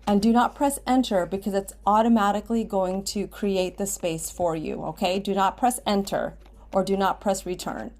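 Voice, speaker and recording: An American woman with a medium-pitched voice talks as a writing teacher in a screen tutorial. She gives simple, step-by-step instructions and often checks in with a short okay. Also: fairly even intonation, normal speed, darkish tone, a little hiss or hum. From Washington State, United States. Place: indoors at a computer, screen recording with webcam.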